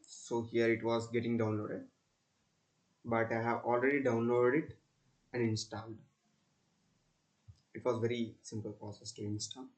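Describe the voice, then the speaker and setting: A man with a mid-range voice presents a software demonstration. He narrates each step in turn, like a student showing an assignment. His phrasing is halting and repetitive, built around plain instructions. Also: mid-range voice, flat delivery, poor audio. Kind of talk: step-by-step screen tutorial narration.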